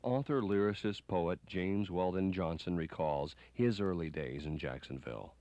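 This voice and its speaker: Deep-voiced male documentary narrator. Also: normal speed, dark tone. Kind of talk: scripted narration.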